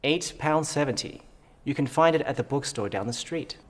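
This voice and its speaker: A man's voice: medium pitch, lively intonation, moderate pace, natural voice. An actor playing a helpful male local who tells a tourist about a castle.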